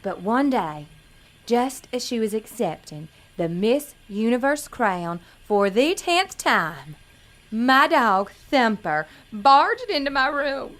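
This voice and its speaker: A woman's voice: on the high side, very animated, takes it slowly, a bit bright, exaggerated voice. A woman playing a naive, earnest young pageant contestant in an audio drama, prim and melodramatic in manner. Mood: wistful.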